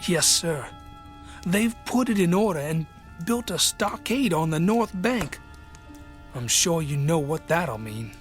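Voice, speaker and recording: Weary, halting soldier. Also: male voice, on the high side, expressive, faint music behind. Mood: grave. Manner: halting, deferential. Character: weary, polite male Confederate army corporal. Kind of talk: radio drama acting.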